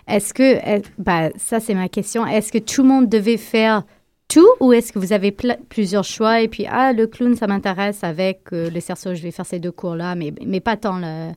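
A woman's voice: mid-range voice, normal speed, a little mellow, no room sound. A woman, co-interviewing and rephrasing her questions as she thinks aloud.

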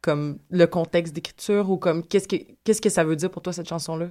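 A woman's voice: on the low side, normal speed, warm tone. A woman with a Quebec French accent, interviewing a musician with hesitant, repeated starts and easy laughter. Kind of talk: casual interview questions.

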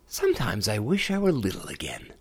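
A man voicing a young princess in a fairy tale reading, in a high, fretful voice. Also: wildly swooping pitch, brisk, gravelly, breathy edge, full character voice. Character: young princess, sheltered and impatient.

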